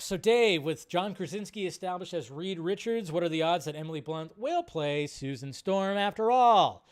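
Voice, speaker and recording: Guy hosting a livestream, slightly higher clean voice, loose rambly chat, repeats himself a lot. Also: smooth. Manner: rambling, off-the-cuff, repeats phrases. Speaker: livestream host. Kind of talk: casual live chat, reading and answering viewer questions.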